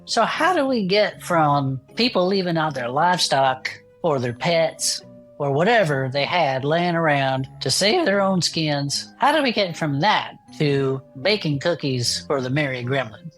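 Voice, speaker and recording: A woman's voice: low-pitched, lively intonation, unhurried, soft background music. A woman playing a folksy, earnest cryptid investigator who co-hosts a podcast in a chatty comedy audio drama. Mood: amused, curious. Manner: folksy, says y'all. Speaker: podcast co-host character. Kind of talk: scripted audio comedy dialogue, chatty banter.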